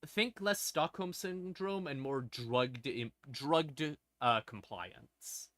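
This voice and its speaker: A man hosting a livestream, explaining lore casually and wryly, in halting phrases broken by pauses and emphatic stresses. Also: mid-range voice, fairly bright tone, no echo, studio quality. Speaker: livestream host.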